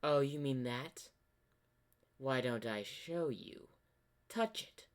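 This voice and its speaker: A man's voice: mid-range voice, slow pace, close to an everyday voice. A man voicing an ancient corrupted zebra spirit from a fan-made fantasy cartoon, smug and sly, with a theatrical, teasing drawl. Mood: gleeful, taunting.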